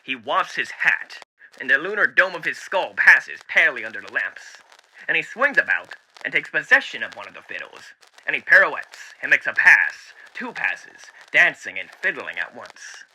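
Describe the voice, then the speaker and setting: Dapper, sinister male radio demon, theatrical storybook reading, piercingly bright, animated pitch, old radio filter. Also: full character voice, rough recording. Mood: sinister glee. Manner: theatrical, deliberate, with hushed dips and swells. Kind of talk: dramatic book reading as old-time radio host.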